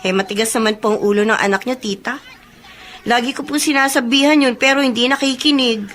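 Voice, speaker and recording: An actress playing a suspicious, sulky young best friend who speaks respectfully, in a Filipino radio drama. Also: female voice, on the high side, fairly even intonation, brisk, a bit bright, slight background noise, close to an everyday voice. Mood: distrustful, complaining.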